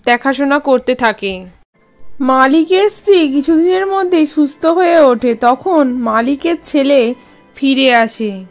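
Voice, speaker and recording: A woman's voice: sits high, lively intonation, a little slow, faint music behind. A woman narrator reading a scripted fairy tale for a cartoon.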